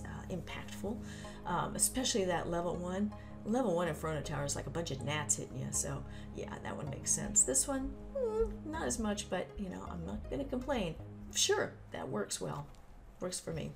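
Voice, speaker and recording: Female gaming host, lowish gravelly voice, informal commentary, clear music bed underneath. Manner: chatty, informal asides and folksy exclamations. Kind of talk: casual explainer commentary on a game update. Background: background music.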